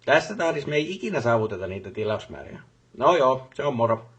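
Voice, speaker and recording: A busy factory boss for a video game. His voice is husky and mid-pitched, and his manner is brisk and businesslike, with colloquial turns and short repeated affirmations. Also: very animated. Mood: stern, pressing. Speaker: voice actor for a game character. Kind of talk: game voice lines, phone calls and workplace speeches.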